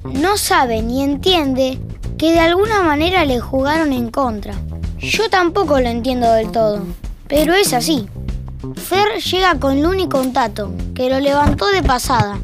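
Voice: sits high, animated, measured pace. A young-sounding performer with an Argentine accent, narrating a scripted audio drama as a wry storyteller. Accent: Argentine Rioplatense. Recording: music behind the narration.

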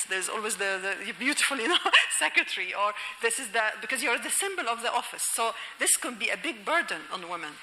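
A bright, sharp-toned woman from Egypt gives a university lecture, thinking aloud with frequent fillers and restarts. Her voice carries a long reverb, as if from a hall. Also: mid-range voice. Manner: thoughtful, many fillers and restarts, laughing asides. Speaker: lecturer and novelist.

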